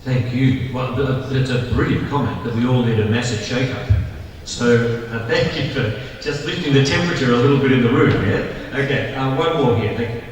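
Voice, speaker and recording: A man chairing a question session, brisk and encouraging. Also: medium pitch, a little hiss or hum. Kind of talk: moderating Q&A. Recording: echoey hall, distant mic.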